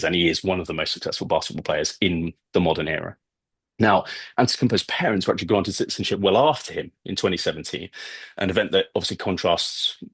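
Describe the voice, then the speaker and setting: A British man giving an academic talk, speaking in a halting, discursive way with asides. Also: low-pitched, breathy edge, no echo. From Britain. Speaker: guest lecturer, researcher of ancient history. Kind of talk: academic talk with slides, semi-scripted.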